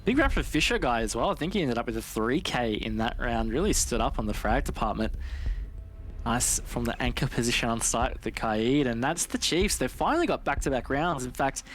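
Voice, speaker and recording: A man's voice: mid-range voice, a bit bright, a little hiss or hum. A man giving live commentary and analysis of an esports match.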